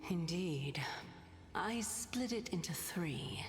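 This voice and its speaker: A serene hidden goddess, low and slow. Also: female voice, in a large hall. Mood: calm, knowing. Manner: calm, gracious, measured. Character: ancient goddess in human disguise, serene, secretive. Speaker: game character. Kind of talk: video game voice acting. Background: game music.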